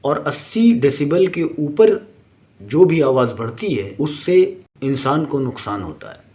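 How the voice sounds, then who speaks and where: A man's voice: medium pitch, a little mellow. A man narrating a scripted explainer in an emphatic, didactic manner. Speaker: presenter.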